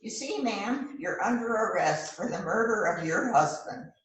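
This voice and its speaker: A woman playing a bossy, showy police detective in an amateur readers theater rehearsal, speaking in a deliberate, emphatic way. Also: medium pitch, expressive, natural voice. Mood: dramatic. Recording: roomy, distant mic with faint noise.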